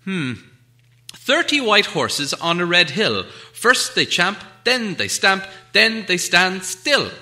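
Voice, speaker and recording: A man's voice: high voice, sing-song, slow pace, pushed and bright, pitched above the performer's own voice, exaggerated voice. A man voicing a timid, flustered little hobbit who stammers politely and anxiously in a storybook reading. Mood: cautious. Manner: stammering, hesitant.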